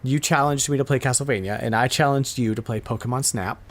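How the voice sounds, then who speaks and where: A man's voice: medium pitch, quick pace, a bit bright. A man hosting a podcast, chatting casually and informally about retro video games. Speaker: podcast host.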